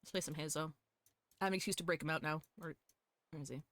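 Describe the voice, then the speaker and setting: A woman streaming a game live, chatting casually with viewers in an animated, sweary, fan-like way. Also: low-pitched, fast talker, dry recording, studio quality. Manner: foul-mouthed. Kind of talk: casual chat with viewers while gaming.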